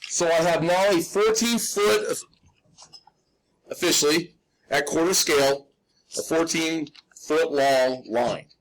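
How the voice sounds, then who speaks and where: A man with a slightly raspy voice teaching drafting in a live step-by-step demonstration, informal and folksy, often checking that everyone follows. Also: fairly high pitch, takes it slowly, pauses often, rough recording. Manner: instructional, checks in often with "okay?"; informal, folksy, self-deprecating.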